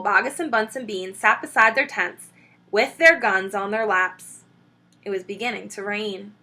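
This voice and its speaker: A woman teacher reading a children's book aloud, expressive and stopping to point out the pictures. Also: mid-range voice. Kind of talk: children's read-aloud storytelling.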